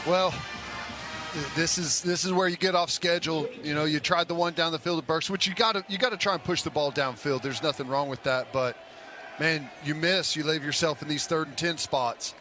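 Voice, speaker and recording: Guy breaking down football plays on the radio, kinda high voice, loose and chatty, music clearly behind him. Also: a little fast, pushed and bright. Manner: conversational, explanatory, speaks in short loose phrases. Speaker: radio color analyst. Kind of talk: football analysis between plays. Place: stadium broadcast booth.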